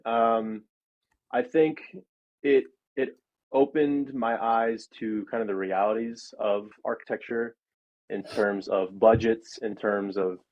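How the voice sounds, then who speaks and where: A man in his early thirties, a guest architect, talks through his career in a loose, rambling style. His voice sits on the low side, and his sentences are sprinkled with "like" and "um". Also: a little mellow, dull audio. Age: 30s. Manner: chatty and rambling, lots of "like" and "um". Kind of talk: casual interview talk.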